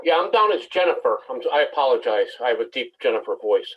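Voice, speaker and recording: A man's voice: mid-range voice, very animated, fast, breathy edge. A man from the public joining a meeting by video call, apologetic and rambling. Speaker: member of the public. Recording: muffled video-call audio.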